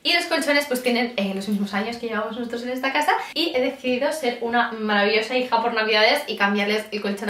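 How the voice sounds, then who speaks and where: A woman's voice: fairly high pitch, fairly bright tone, slight background noise. A woman in her twenties with a Castilian Spanish accent, chatting casually and expressively to camera as a vlogger. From Spain. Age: 20s. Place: parked car and a bedroom.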